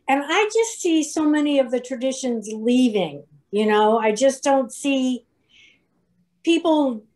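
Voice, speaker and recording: Older female participant, higher-pitched, casual and reflective, speaking on a group video call. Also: a little mellow, rough recording. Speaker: audience participant.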